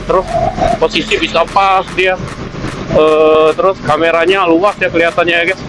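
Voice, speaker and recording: A man chatting casually as a motovlogger, halting and repeating himself as he asks himself questions. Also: fairly high pitch, level tone, background noise. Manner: halting, repetitive, self-questioning. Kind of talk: casual vlog chat.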